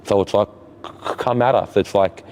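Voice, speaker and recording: A man, a futsal goalkeeper being interviewed, talking in halting bursts that lean on "like" and often restart. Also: fairly low pitch, steady tone, darkish tone. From Australia. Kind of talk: post-season player interview.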